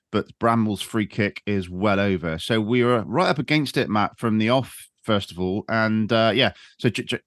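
A man with a lowish voice hosts a football podcast. He steers the conversation and reads out the match minutes. The recording is dry and close. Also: no room sound. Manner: leads the talk, reads match events aloud. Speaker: podcast host. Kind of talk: casual football podcast hosting.

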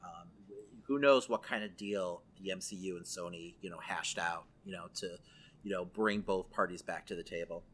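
A man's voice: low-pitched, moderate pace, fairly bright tone, husky. A man co-hosting a podcast, chatting casually through entertainment news. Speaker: podcast co-host.

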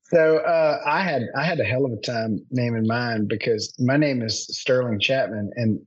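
A man's voice: mid-range voice, moderate pace, husky, highs cut off. A man hosting a podcast, chatting casually with a guest and often restarting his sentences. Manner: chatty, rambling with frequent restarts. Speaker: podcast host. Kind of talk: casual interview chat.

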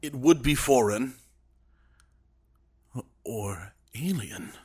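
An actor playing a brash, excitable, stubborn radio director in an audio drama, speaking with grand theatrical emphasis. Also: male voice, medium pitch, very slow, very noisy. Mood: brooding, searching. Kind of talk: audio drama acting set in 1930s radio world.

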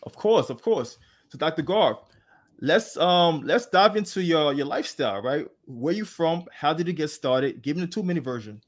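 An upbeat man hosts a podcast, introducing guests and asking questions. His voice is a bit higher than most men's, and he sounds enthusiastic throughout. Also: lively intonation, moderate pace. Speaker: podcast host. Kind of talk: podcast hosting and interviewing.

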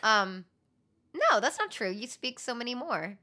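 A woman's voice: fairly high pitch, big pitch swings, measured pace, sharp tone. A woman co-hosting a podcast in a casual chat, talking loosely with many ums and fillers; her home language is Gujarati. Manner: chatty, lots of ums and filler. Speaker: podcast co-host.

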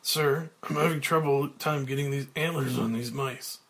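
A man's voice: mid-range voice, steady tone, moderate pace, fairly bright tone, voice pushed up, lightly acted. A man voicing a nervous, apologetic new prop hand. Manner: apologetic, hesitant.

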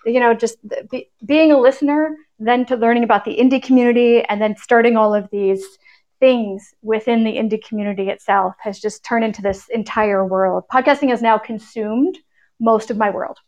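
A woman with a slightly high voice speaks as a podcast guest. She explains things in a casual, chatty manner and is amused at herself. The recording is a slightly dull, lo-fi online call. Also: steady tone, normal speed, darkish tone. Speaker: podcast guest, podcast network founder.

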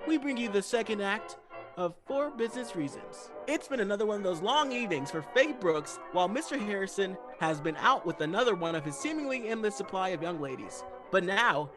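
Guy doing an old timey radio announcer, high animated voice, bit of music behind. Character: male 1940s radio announcer, brisk and showy.

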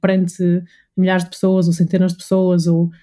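A woman's voice: on the low side, monotone, normal speed, mellow. A woman with a European Portuguese accent giving conversational explanations as an interview guest, articulate and often listing her points. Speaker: voice and communication coach, interview guest.

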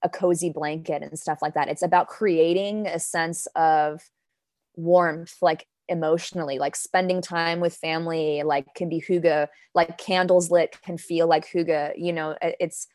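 A woman's voice: low voice, fairly even intonation, measured pace, darkish tone, dry recording, clean studio sound. A young woman poet from Denmark chatting in an interview, with lots of fillers and frequent laughs. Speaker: guest poet. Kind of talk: casual interview chat. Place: New York.

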